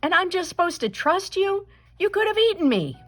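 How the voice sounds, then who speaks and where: A woman's voice: extremely high pitch, very animated, normal speed, fairly bright tone, faint music behind, voice pushed up, exaggerated voice. A woman voicing a small, feisty young chicken in a storybook, jumpy and exclaiming. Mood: insistent. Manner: exclaiming, stammering when flustered.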